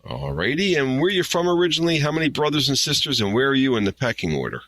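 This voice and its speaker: A man's voice: medium pitch, very animated, quick pace, fairly bright tone. A male radio talk show host with a folksy manner, who hands the questions to his panelists by name.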